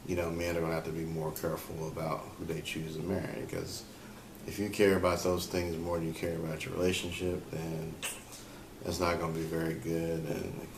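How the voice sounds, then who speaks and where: Deep, flat-voiced man in an echoey room. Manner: unscripted, thinking aloud with many fillers. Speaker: podcast host. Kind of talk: solo relationship advice talk. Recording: echoey room with faint ambient noise.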